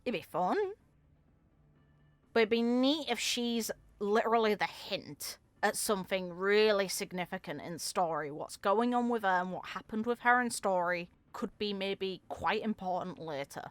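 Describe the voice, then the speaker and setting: A woman's voice: medium pitch, moderate pace, no echo. A woman giving casual, informal reaction commentary as a game content creator, musing aloud as she goes.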